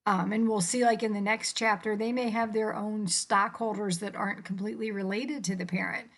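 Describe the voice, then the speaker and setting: Woman, American accent, creaky voice, mid-range pitch, instructor talking through slides, colloquial and explanatory. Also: steady tone, brisk. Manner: colloquial, explanatory, with casual contractions and check-ins. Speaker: accounting instructor. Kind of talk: informal lecture explaining slides.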